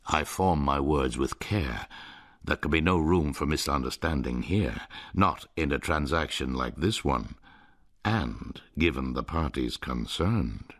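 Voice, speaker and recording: Slow, very deep male narrator. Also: lively intonation. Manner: measured, brooding first-person storytelling. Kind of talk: radio drama narration.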